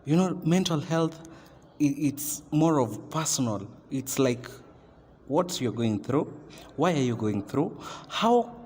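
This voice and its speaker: An actor with a Kenyan accent playing a stern class teacher who lectures and scolds in a halting, emphatic way in an amateur radio drama. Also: male voice, fairly high pitch, very animated, darkish tone. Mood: earnest, admonishing. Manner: admonishing, emphatic, halting delivery. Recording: noisy, very reverberant room.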